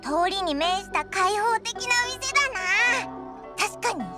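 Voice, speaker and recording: A woman playing a small, cheeky, childlike fairy companion in an anime game, with squeaky, brash boyish speech. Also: lively intonation, measured pace. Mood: eager. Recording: music playing behind the voice.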